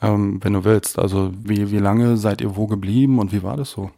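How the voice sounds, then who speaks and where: A man's voice: on the low side, steady tone, normal speed, vocal fry, mellow, no room sound, clean studio sound. A man hosting a podcast, introducing and interviewing a guest in a friendly, conversational way. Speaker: podcast host.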